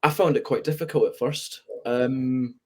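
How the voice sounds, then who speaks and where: A Scottish-accented man of nineteen, from Spain, talks openly and thoughtfully about himself. His pitch sits in an ordinary middle range, and he comes across over a video call. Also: steady tone, normal speed. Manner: candid, reflective. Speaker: guest. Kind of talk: webinar conversation.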